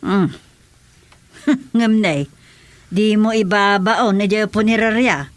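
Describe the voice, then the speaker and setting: A woman's voice: mid-range voice, takes it slowly, no echo, natural voice. An actress playing a kindly older woman with an Ilocano accent in a radio comedy drama, speaking in a motherly, conversational way. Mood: easygoing.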